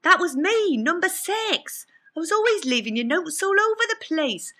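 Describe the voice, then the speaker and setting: A woman's voice: extremely high pitch, sing-song, moderate pace, pushed and bright, slight background noise, pitched above the performer's own voice, exaggerated voice. A woman voicing a meddling imaginary personality who is earnest, preachy and fussy, in a storybook reading. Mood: chatty, indignant. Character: meddling, chatty imaginary inner personality, preachy spiritual adviser.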